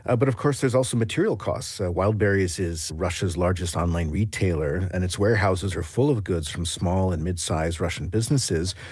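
A man's voice: low voice, normal speed, hardly any pauses, a little mellow, husky, no room sound, clean studio sound. A man with an American accent giving an explanatory radio news report as a foreign correspondent, in a conversational way.

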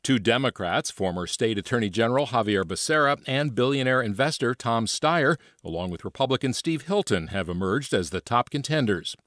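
A man filing a radio news report in a steady reporting tone. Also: mid-range voice, brisk, few pauses, dry recording, studio quality.

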